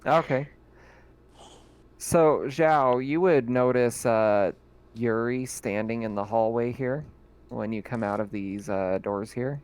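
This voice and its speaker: Casting: man, mid-pitched, easygoing game master narrating a tabletop game. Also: slow pace, clear, resonant tone. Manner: relaxed, filler-heavy description. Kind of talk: running a tabletop roleplaying game.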